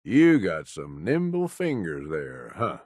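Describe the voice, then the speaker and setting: Very deep, gruff American raider. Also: male voice, wildly swooping pitch, takes it slowly, darkish tone, dry recording, full character voice. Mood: impressed. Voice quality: low, gruff. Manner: blunt, folksy, drawling slang with swearing. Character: gruff, cynical male raider lieutenant, loyal but blunt. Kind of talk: video game companion voice lines.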